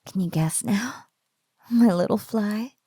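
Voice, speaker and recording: A woman acts out a female spider creature who toys with a captive, doting and playfully cruel. The delivery is very slow and breathy, often sinking into a soft whisper. It sounds close and dry, in the manner of an ASMR roleplay. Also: mid-range voice, no echo. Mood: playful, gloating.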